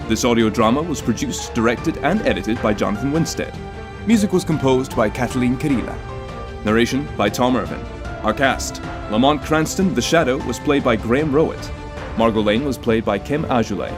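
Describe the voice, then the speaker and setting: A man announcing in a scripted old-time radio drama style, as the show's narrator. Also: medium pitch. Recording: music bed behind.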